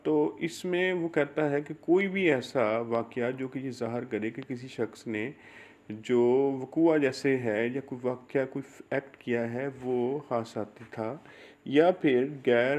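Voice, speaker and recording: A man with a Pakistani accent giving an explanatory law lecture, reading and explaining in a halting way, repeating and rephrasing key phrases. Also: mid-range voice, a little mellow.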